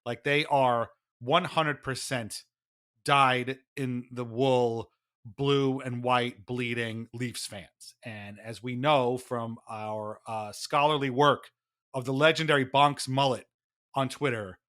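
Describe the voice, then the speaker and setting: A man's voice: mid-range voice, steady tone, slow, fairly bright tone. A man co-hosting a sports podcast, giving opinionated commentary in an emphatic, wryly exasperated way. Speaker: podcast co-host.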